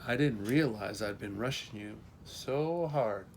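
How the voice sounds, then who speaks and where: A man voicing a kind big brother who teases gently and reassures, in a storybook reading. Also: on the low side, measured pace, a little hiss or hum. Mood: apologetic, brisk. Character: kind, teasing big brother to two younger children.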